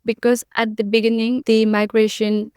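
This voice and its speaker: A woman's voice: on the high side, level tone, moderate pace, darkish tone, clear, resonant tone, no room sound, studio quality. A young woman from Nepal, a podcast guest, giving earnest, repetitive conversational answers with frequent fillers.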